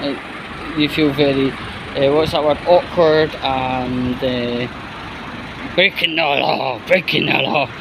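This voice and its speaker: A man's voice: medium pitch, fairly even intonation, slow pace, vocal fry. A man with a Glasgow-area Scottish accent chatting off the cuff in a casual outdoor vlog, with blunt, sweary grumbling. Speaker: vlogger presenting a walking video. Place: outdoors by a roadside bus stop. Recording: outdoor street noise with passing traffic. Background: traffic and street ambience.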